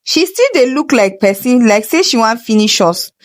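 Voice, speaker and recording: A woman's voice: on the high side, sing-song, moderate pace. A woman with a Nigerian accent voicing a gawking, exclaiming village gossip in a folktale. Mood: amazed. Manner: exclaiming, pointing out in Pidgin.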